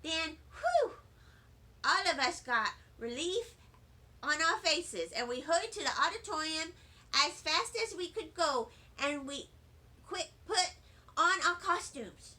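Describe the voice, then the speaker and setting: High, bright children's story reader. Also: female voice, big pitch swings, slow pace. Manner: chatty, wide-eyed childlike narration. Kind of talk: children's book read-aloud in first-person child voice.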